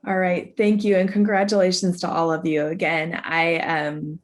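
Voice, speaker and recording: A middle-aged American woman speaks in a slightly low voice. She presents awards in an informal, conversational way, chatting as she moves through the announcements. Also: level tone, moderate pace. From Oregon, United States. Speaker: ceremony presenter, law faculty.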